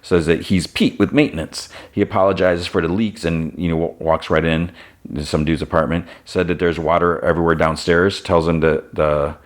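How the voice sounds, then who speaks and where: A man's voice: sits low, fairly even intonation, moderate pace. A man hosting a podcast, retelling a TV episode scene by scene in a loose, casual way and quoting the characters as he goes. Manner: retells scenes, briefly voicing characters in quotes; loose, with restarts and "he's like" quotes. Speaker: podcast host.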